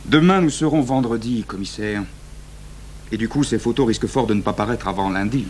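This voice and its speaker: A man's voice: on the low side, a little fast, a little mellow, close to an everyday voice. A man acting a sceptical, exacting magistrate in his thirties in a French radio crime drama, dry and formal. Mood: insistent, stern. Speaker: actor playing an examining magistrate. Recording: noisy 1980s radio recording with hum.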